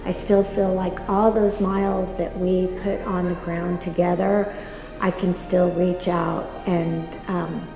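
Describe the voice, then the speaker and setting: A woman speaking earnestly and haltingly about her running community in an interview. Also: on the low side, level tone, roomy sound, big-room sound, music in the background, low-quality recording. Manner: earnest, halting, many fillers. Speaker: interviewee, runner. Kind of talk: heartfelt interview talk.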